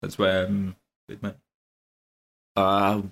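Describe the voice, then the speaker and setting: A young man co-hosts a relaxed podcast. His voice sits somewhat low. He speaks in a measured, thoughtful way and often pauses on hesitant fillers. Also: creaky. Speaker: podcast co-host. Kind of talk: casual podcast chat.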